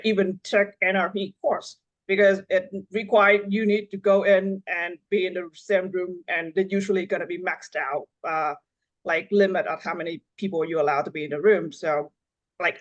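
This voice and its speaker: Woman from Vietnam. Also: on the low side. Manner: halting, frequent fillers and restarts. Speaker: neonatology fellow. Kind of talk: panel discussion.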